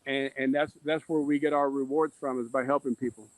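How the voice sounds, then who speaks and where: A man's voice: medium pitch, steady tone, fairly quick, no room sound, poor audio. A man speaking earnestly as a podcast guest and mentor, with emphatic, repetitive, streetwise phrasing. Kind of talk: earnest personal testimony in conversation.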